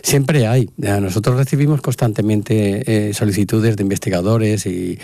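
A man's voice: fairly low pitch, fairly even intonation, normal speed, warm tone, no room sound. A man from Spain, a foundation director, answering in a radio interview in a hesitant way with many fillers and restarts.

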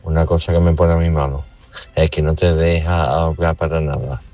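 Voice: rumbling low, flat delivery, moderate pace, mellow. A man making casual complaints for a radio show, emphatic and repeating his phrases. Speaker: radio listener contributor.

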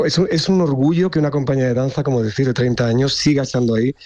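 A man's voice: medium pitch, racing, dry recording. Appreciative man, dry close sound. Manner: warm, appreciative, animated storytelling. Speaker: theatre director. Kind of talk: conversational interview.

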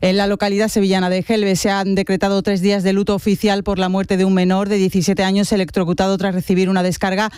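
Bright-voiced radio newsreader man. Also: high voice, quick pace, few pauses, clear, resonant tone, no echo, clean studio sound. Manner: steady formal delivery. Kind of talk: hourly news bulletin.

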